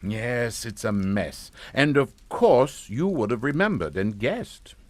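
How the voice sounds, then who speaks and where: An actor playing a politely menacing upper-class English father in a radio suspense drama, speaking in a clipped, formal, deliberate way. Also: medium pitch, very animated, no room sound. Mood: calm menace. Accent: British.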